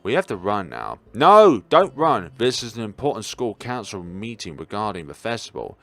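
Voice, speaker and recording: A man reading the part of a brisk, teasing student council schoolgirl in a visual novel. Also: on the low side, sing-song, dry recording, faint music behind. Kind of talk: visual novel dialogue read aloud.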